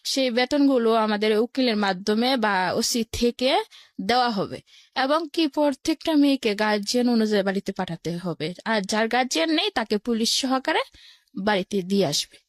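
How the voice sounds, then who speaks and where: A woman's voice: on the high side, animated, normal speed, dry recording, studio quality. A woman with a Bangladeshi accent telling her own life story as an interview guest, in plain colloquial words, step by step.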